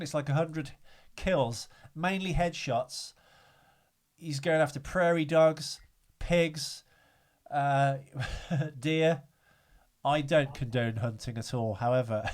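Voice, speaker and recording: A man's voice: on the high side, fairly even intonation, slow pace. A man hosting a podcast in casual chat, telling things in a rambling, wryly understated way. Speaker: podcast host.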